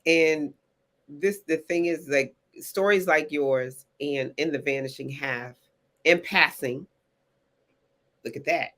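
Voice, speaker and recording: A woman hosting a relaxed interview with a novelist, informal and chatty. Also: sits low, slow, pauses often. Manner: informal and chatty, drops phrases like "honey". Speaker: interviewer and festival founder. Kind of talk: casual conversational interview.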